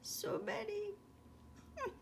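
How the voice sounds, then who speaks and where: A woman's voice: very high-pitched, wildly swooping pitch, slow pace, vocal fry, heavy background noise. A woman reading a rhyming children's bedtime story expressively, with chatty asides to young listeners.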